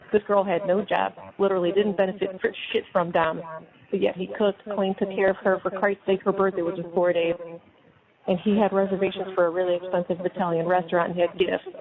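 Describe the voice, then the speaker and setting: Middle aged american lady on speakerphone, super phone-y sound, voices behind her, blunt and indignant, reading stuff out. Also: fairly low pitch. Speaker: mother on a jail phone call. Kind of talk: casual phone talk and halting reading aloud. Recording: muffled phone line on speakerphone, chatter behind.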